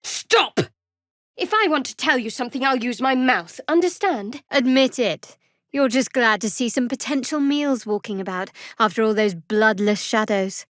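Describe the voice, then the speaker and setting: An actress voices a sardonic young woman, a cleric of a dark goddess in a fantasy video game. Her voice sits a little high, and she speaks in a wry, clipped way that keeps others at a distance. The sound is very dry, free of any room. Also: normal speed, dry recording, natural voice. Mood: fierce, commanding.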